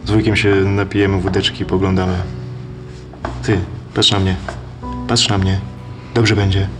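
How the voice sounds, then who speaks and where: An actor playing a rough, streetwise relative who talks in blunt slang, quietly reassuring a young man. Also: level tone, moderate pace, music in the background, natural voice. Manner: blunt, low-key, slangy.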